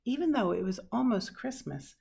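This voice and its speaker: A woman reading a children's picture book aloud expressively, with dramatic pauses and hushed asides. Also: on the high side, sing-song, gravelly, slightly rough. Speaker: storybook reader.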